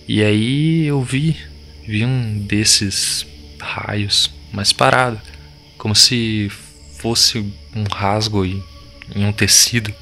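An actor playing a shaken, defiant village boy in an audio drama, speaking in urgent, halting slang. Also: fairly low pitch, bright, forceful tone, exaggerated voice. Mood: awed. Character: shaken, defiant village boy urging his friends to flee.

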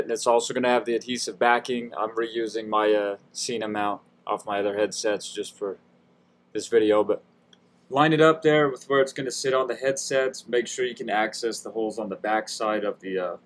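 A man's voice: fairly low pitch, fairly even intonation, fairly quick, a little hiss or hum. A man giving a casual, folksy how-to voice-over in an informal, self-deprecating way. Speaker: DIY tutorial host.

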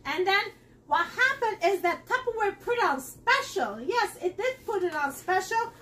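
A middle-aged woman hosting a live cooking demonstration in her home kitchen, talking to viewers in a chatty, excitable way. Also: extremely high pitch, measured pace, distant mic, a little hiss or hum. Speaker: host selling kitchenware. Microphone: camera across the counter.

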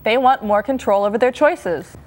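A young woman hosts a studio TV program with a fairly high voice. Her delivery is clear and rehearsed, picked up on a clip-on mic. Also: normal speed. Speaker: co-host. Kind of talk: scripted TV presenting. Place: indoor TV studio. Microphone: lapel.

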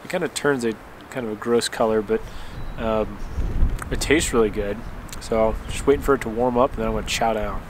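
A man's voice: fairly low pitch, brisk. A man in his thirties with an American accent, chatting casually and rambling to camera as an outdoor vlogger. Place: outdoors in mountain wilderness. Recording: outdoor ambient noise, wind.

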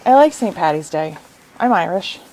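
A woman's voice: lowish voice, lively intonation, moderate pace, a little mellow, slight background noise, poor audio. A woman in her thirties hosting a casual sewing-shop live stream from her studio. She chats, laughs often and jumps between topics. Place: indoor sewing shop studio with bags on shelves.

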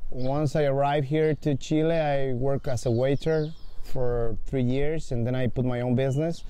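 A man's voice: mid-range voice, steady tone, normal speed, dark tone, smooth. A man in his forties from Argentina, a construction foreman, introducing himself outdoors in a plain-spoken way. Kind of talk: casual self-introduction. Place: outdoor garden with a fountain.

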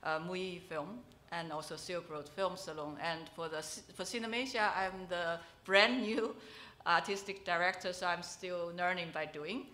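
A woman's voice: lowish voice, moderate pace, pushed and bright, a little hiss or hum. A woman from China moderating a film festival panel on stage, hesitant, with many restarts and fillers. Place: event hall with a panel on stage.

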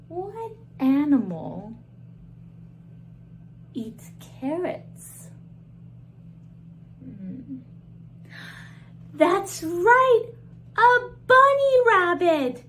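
A woman in her thirties teaching toddlers in a video, talking to the children in an animated, exaggerated way with gasps and questions, filmed at close range in a small room. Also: sits high, slight background noise, poor audio.

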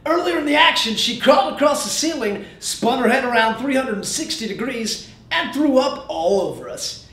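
A man playing an excitable sports-style commentator, calling play-by-play. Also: very high-pitched, normal speed, exaggerated voice. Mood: announcing. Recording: roomy echo.

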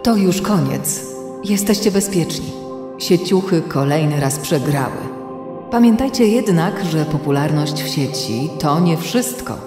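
Calm, solemn female guardian for a kids' audio play, low and unhurried, reverberant, over music. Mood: solemn, reassuring. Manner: measured, solemn, reassuring. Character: calm, benevolent female protector reassuring children. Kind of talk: children's audio drama acting. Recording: reverberant hall with music behind.

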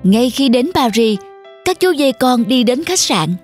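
Female storyteller for children's tales, fairly high voice, scripted narration over light music. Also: sing-song, a little slow.

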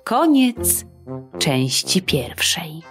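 Storyteller, woman, slow, lively pitch, bedtime tale read over soft music. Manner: expressive, slow, playful. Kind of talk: children's audiobook narration.

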